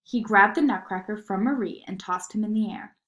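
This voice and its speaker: A woman narrating a children's story in an expressive read-aloud style. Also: medium pitch, brisk.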